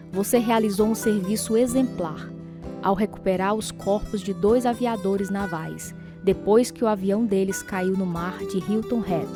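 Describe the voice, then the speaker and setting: Woman acting as a supportive boxer's wife in a radio play, brazilian accent, gentle and sincere, guitar playing behind. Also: mid-range voice, natural voice. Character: devoted, supportive wife of a boxer, young mother. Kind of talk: radio drama acting with scripted narration. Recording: guitar music under the voice.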